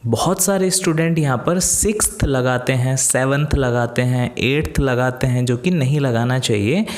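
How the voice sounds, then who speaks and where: A man with an Indian accent teaching English step by step, methodically repeating example phrases slowly and deliberately. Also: mid-range voice, soft background music. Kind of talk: instructional lesson, explaining in steps with examples.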